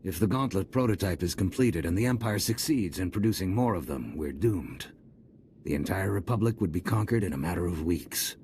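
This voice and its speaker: An actor playing a grave, formal supreme military commander in a video game, speaking in a measured way over background ambience. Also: male voice, low-pitched, expressive, a little mellow, slight background noise. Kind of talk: video game dialogue acting.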